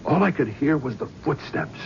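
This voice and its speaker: A jittery, hard-drinking talent agent with a New York accent, played by an actor, tense and defensive in a 1970s radio drama. His voice sits at an ordinary pitch for a man. The sound is dull old broadcast audio with a faint hiss. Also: lively intonation, normal speed, a little mellow, natural voice. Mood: shaken.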